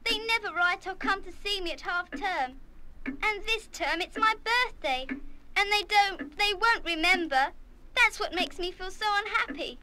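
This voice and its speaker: A woman's voice: extremely high pitch, animated, unhurried, noisy background. A woman playing a sensitive, earnest schoolgirl with a plaintive manner in a radio play. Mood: sad, plaintive. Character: lonely, sensitive, sincere schoolgirl. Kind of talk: full-cast radio play acting.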